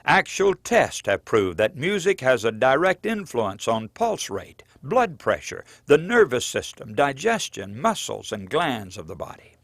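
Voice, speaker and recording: Man reading his book like a sermon, earnest, pitch swings around a lot, dead dry mic. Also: sing-song, measured pace, few pauses, a bit bright, dry recording. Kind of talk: scripted audiobook narration.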